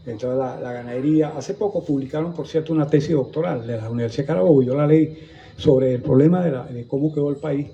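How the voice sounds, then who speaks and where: A man's voice: medium pitch, fast, creaky, mellow, lo-fi sound, slight background noise. Older Venezuelan man, historian guest, meandering, restart-prone delivery; faint ambience behind. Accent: Venezuelan Spanish. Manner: rambling, repeats and restarts words while recalling. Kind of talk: conversational storytelling in a museum talk. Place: museum gallery, indoors.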